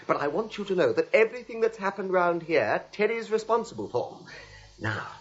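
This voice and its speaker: A flustered smoother-over in an old radio comedy. He stammers ingratiatingly, his pitch hopping about. The recording is muffled and dated. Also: big pitch swings, a little fast. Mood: nervous, placating. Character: flustered, fast-thinking man covering up for his family. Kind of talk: 1940s radio comedy acting. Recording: dull vintage radio recording.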